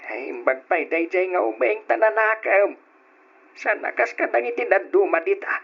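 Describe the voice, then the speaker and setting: A man's voice: high voice, lively intonation, moderate pace, creaky, slightly rough, heavily acted. An actor playing a middle-aged father chatting casually with his wife in a radio drama, on a lo-fi old recording. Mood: chatty.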